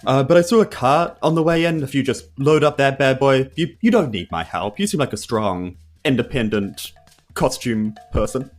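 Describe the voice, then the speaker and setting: A man's voice: medium pitch, lively intonation, normal speed, soft background music. Jokey New Zealand man playing a tabletop game. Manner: jokey, informal. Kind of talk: casual improvised roleplay chat.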